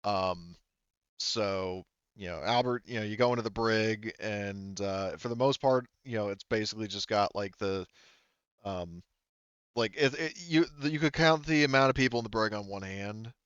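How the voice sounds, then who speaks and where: Male game master, low-pitched, hesitant and digressive tabletop narration, dry slightly dull sound. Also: a bit bright, clean tone, no room sound. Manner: halting, rambling delivery full of hedging asides.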